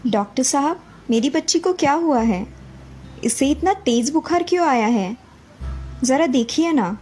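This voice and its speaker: A woman's voice: high-pitched, expressive, unhurried, a little mellow, soft background music. A woman voicing a worried young mother in a children's story, anxious and pleading.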